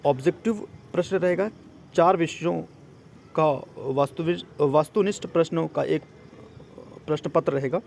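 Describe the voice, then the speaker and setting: A man's voice: fairly high pitch, moderate pace, gravelly, darkish tone, slight background noise. A man gives an informal explainer, mixing in English words and often checking that listeners follow. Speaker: exam coaching presenter. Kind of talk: informal explainer of a job notice.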